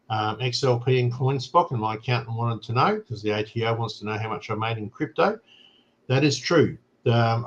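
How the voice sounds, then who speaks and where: A man's voice: mid-range voice, slight background noise. Australian man hosting, muffled sound. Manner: explains plainly, with frequent "right?" checks. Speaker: show host. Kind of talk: casual chat.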